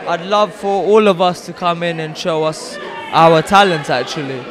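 A man's voice: on the high side, fairly even intonation, normal speed. A young man from Tanzania, a singer, giving a casual interview into a handheld microphone. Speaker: singer, event guest. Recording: loud event crowd behind.

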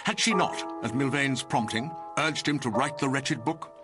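An actor as the grave narrator of a radio drama, measured and literary. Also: male voice, mid-range voice, lively intonation, over music, natural voice.

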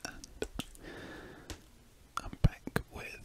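Breathy whispering British man. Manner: slow, hesitant, trailing musings. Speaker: host of a drawing ASMR channel. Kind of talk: ASMR, unscripted rambling while drawing. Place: indoors at a desk.

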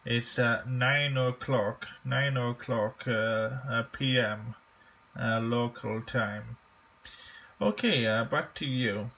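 An Englishman making an amateur radio contact, speaking haltingly and deliberately and repeating key phrases. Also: medium pitch, vocal fry, pushed and bright. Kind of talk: ham radio contact, phonetic spelling.

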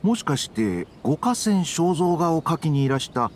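A man's voice: on the high side, sing-song, a little hiss or hum. An actor playing a deferential male publishing clerk, very formal and apologetic, in a Japanese game cutscene. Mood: polite, apologetic. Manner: very formal, apologetic, halting when caught.

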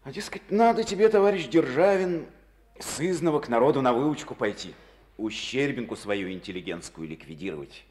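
A man's voice: medium pitch, expressive, moderate pace, darkish tone, lightly acted. An actor playing a tired, self-critical male district party chief who talks in a brooding, wry way in a Soviet radio play.

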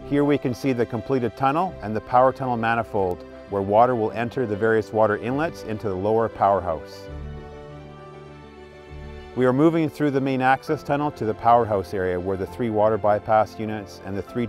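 A man's voice: fairly low pitch, brisk, a little mellow. A middle-aged man, delivering scripted narration as a utility spokesman giving a project update. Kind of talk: scripted narration and on-site presenting. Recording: music behind the voice.

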